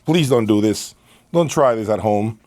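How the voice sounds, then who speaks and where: A man's voice: fairly low pitch, lively intonation, a little slow, a little mellow. A man hosting a product review in casual talk to camera, speaking emphatically and repeating phrases for stress. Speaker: host of an audio equipment review channel.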